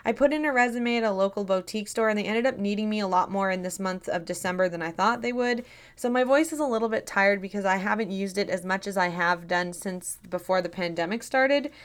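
A woman hosting a podcast, her voice a little raspy and tired, talking in a friendly, chatty and earnest way. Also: mid-range voice, brisk. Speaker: podcast host. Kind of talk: scripted podcast narration.

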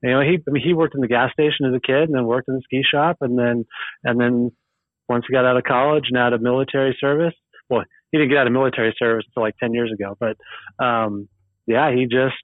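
A man from Oregon telling family stories as a podcast guest, easygoing, rambling and quick to laugh. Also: mid-range voice, steady tone, dry recording. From Eugene, Oregon, USA. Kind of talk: casual podcast chat. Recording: tinny phone-like remote line.

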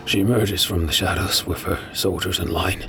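A man narrating a fan audio drama in first person, measured and brooding. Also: deep voice, fairly even intonation, vocal fry, background noise.

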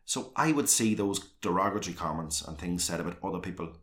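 A man with a South Armagh accent and a very low, deep voice. He talks openly and rambles, circling back with restarts and fillers. He sounds like an earnest guest in a sit-down conversation. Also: expressive, fast talker, a bit bright. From South Armagh, Northern Ireland. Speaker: guest, councillor and former soccer manager.

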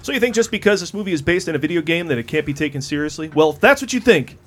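A male co-host of a film podcast, chatting casually and setting up punchlines. His pitch rides a little high and swings freely. He sounds playful and animated throughout. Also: very fast. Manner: joking, sets up punchlines. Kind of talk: casual film review chat.

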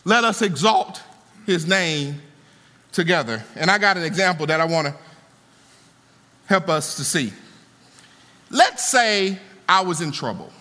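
A man preaching a sermon to a congregation, swinging from soaring lines to low, conversational asides. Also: lively intonation, measured pace, long pauses, bright, forceful tone. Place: church auditorium. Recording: long hall reverb.

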